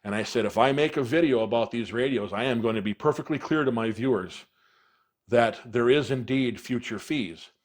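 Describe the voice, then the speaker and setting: A man's voice: medium pitch, normal speed. Casual man explaining step by step. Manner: explanatory, plain-spoken, step by step. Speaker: product reviewer, video presenter. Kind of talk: casual hands-on product demonstration.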